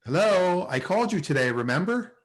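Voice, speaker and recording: A man's voice: high voice, very animated, a little fast. A man playing a lovesick, wisecracking, anxiously hopeful sitcom character in a table read over a video call. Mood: pleading.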